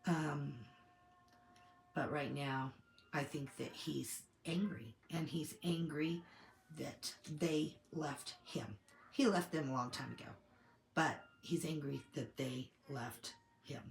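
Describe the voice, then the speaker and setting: A woman co-hosting a commentary show, getting over a cold and slightly hoarse, giving her opinions in a measured, thinking-aloud way. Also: low-pitched, roomy sound, slight background noise. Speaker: co-host of a TV commentary channel.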